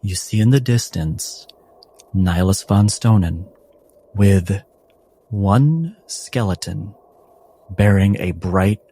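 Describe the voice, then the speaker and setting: A man narrating a tabletop game as game master, with deliberate, dramatic phrasing and atmospheric pauses. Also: low voice, warm tone, slightly rough.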